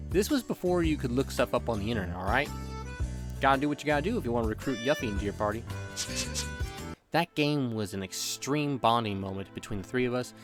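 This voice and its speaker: A man in his thirties narrating a scripted comedic video game review with exaggerated, playful delivery. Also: mid-range voice. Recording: background music under the voice. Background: music.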